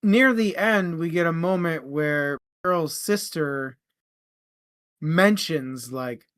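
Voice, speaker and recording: A man with a slightly high, bright and forceful voice co-hosts a casual book discussion. He talks at an unhurried pace and gets animated when making a point. The sound is close and dry. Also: no room sound. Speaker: book podcast co-host.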